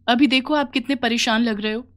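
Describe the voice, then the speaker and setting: A woman's voice: on the high side, steady tone, a little fast, fairly bright tone, lightly acted. A woman voicing a caring, earnest young village daughter in a cartoon, concerned and pleading.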